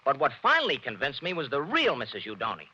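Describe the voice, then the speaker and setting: A cocky radio detective. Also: male voice, medium pitch, huge pitch swings, sharp tone, slightly rough, heavily acted. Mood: assured. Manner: brisk, assured, explaining. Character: sharp, confident male amateur detective. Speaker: detective explaining the case. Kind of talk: 1940s radio acting. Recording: dull 1940s radio broadcast recording.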